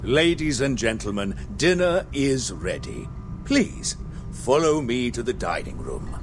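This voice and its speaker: A man's voice: mid-range voice, very animated, a little slow, background noise. An actor voicing a polite male butler who speaks formally and deferentially. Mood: courteous.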